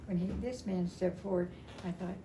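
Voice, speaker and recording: Older woman, slightly low voice, chatty and halting personal storyteller, recorded with clear background noise. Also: echoey room. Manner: halting, repeats phrases, chatty. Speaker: storyteller at a family memorial. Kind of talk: casual personal storytelling to a gathering.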